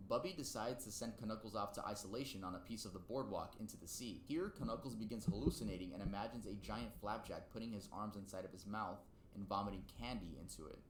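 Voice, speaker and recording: A man with a fairly low voice narrates a video essay in a semi-scripted, conversational way. The recording is clearly roomy, with a faint rumble of noise behind him. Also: fast talker, few pauses. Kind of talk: semi-scripted countdown narration with casual asides.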